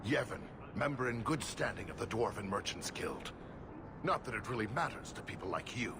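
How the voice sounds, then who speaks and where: Over clear rumbling noise comes a middle-aged dwarf merchant in a fantasy game. His voice is gravelly and creaky, and he sounds like an indignant father who both accuses and pleads. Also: expressive, moderate pace. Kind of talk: video game voice acting.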